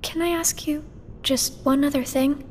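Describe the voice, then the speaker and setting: A woman voicing a quiet, earnest teenage girl in a game cutscene, soft and gentle. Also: high voice, steady tone, measured pace, slight background noise. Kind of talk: video game cutscene acting.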